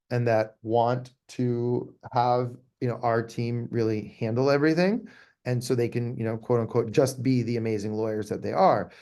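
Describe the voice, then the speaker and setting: Male business owner in interview, medium pitch, conversational delivery that leans hard on repeated key phrases. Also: dark tone. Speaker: law firm owner being interviewed.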